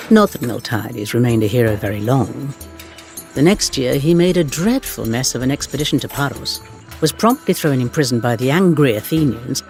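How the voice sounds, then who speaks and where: A man narrating history for game cutscenes as a measured, dramatic storyteller with wry asides. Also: mid-range voice, a little mellow. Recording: music under the voice. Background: background music.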